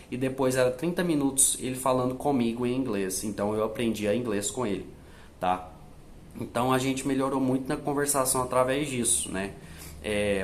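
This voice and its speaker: A man's voice: mid-range voice, a little hiss or hum. A young Brazilian man vlogging language-learning tips in a chatty, casual way, speaking English with a Brazilian accent in parts. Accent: Brazilian Portuguese. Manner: chatty, fillers like né and tá, switches to slow careful English. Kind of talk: casual direct-to-camera tips.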